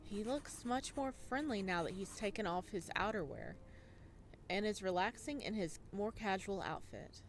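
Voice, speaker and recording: A playful, expressive woman streamer reading a visual novel aloud and adding her own reactions. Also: mid-range voice, normal speed, a little hiss or hum. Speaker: video game streamer.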